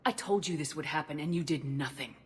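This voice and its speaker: An actress playing a stern, impatient military commander in a sci-fi video game, firm, terse and blunt. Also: female voice, sits low, a little fast, slight background noise, close to an everyday voice. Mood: frustrated. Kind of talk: dramatic video game acting.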